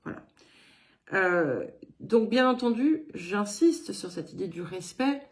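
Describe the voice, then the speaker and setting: An English-born woman with a faint English accent reads oracle cards aloud for her audience. She talks slowly and hesitantly, pausing often with little fillers, in a voice of ordinary middle pitch. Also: animated. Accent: slight English accent in French. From England. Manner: unhurried, hesitant, many pauses and fillers. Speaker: card reader giving a weekly guidance. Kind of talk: slow spoken tarot-style reading.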